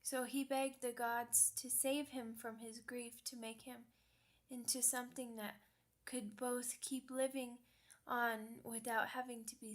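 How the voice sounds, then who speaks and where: Slow, high-voiced timid werewolf pup played by a woman. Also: natural voice. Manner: childlike, hesitant, halting. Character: young werewolf pup, orphaned, timid, sweet and earnest. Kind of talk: ASMR roleplay.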